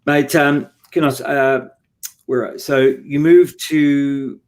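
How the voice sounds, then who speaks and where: A man hosting an interview, asking halting questions and correcting himself often. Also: mid-range voice, fairly even intonation. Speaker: radio show host.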